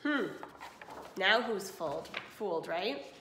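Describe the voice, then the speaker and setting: A woman's voice: medium pitch, expressive, takes it slowly, background noise. A woman in her forties teaching children, reading aloud and explaining casually. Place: small indoor room at home. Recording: roomy home video recording.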